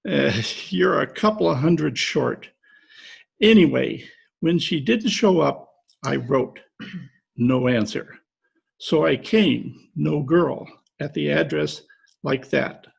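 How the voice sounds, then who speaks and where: Man playing a very gravelly, jilted fisherman. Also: fairly high pitch, takes it slowly, lo-fi sound, exaggerated voice. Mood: aggrieved. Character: jilted, gullible, aggrieved fisherman from Maine. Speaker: actor in an amateur radio-play reenactment. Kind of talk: old-time radio drama acting. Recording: remote home recording over video call.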